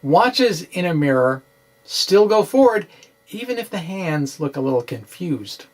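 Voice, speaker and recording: A middle-aged man giving a dramatic reading of a science explainer, with deliberate, halting, theatrical phrasing, close to the microphone in a small room. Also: fairly high pitch, very animated. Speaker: science blogger presenting. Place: small indoor room with bookshelves. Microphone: microphone close in front on a stand.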